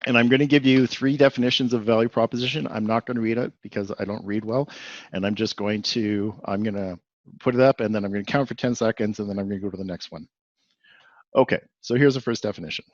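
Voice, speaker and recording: A man's voice: on the low side, fairly even intonation, quick pace, dry recording, dull audio. Rambling Canadian man giving a webinar. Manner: rambling with frequent fillers and restarts. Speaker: presenter. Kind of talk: webinar presentation.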